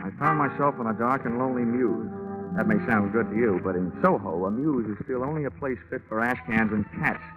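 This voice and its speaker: An actor playing a wry, hard-boiled American investigator in a 1940s radio detective drama, deadpan and wisecracking. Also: male voice, mid-range voice, fairly quick, lightly acted. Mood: hard-boiled, narrating. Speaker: detective narrator. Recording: muffled 1940s radio recording with music.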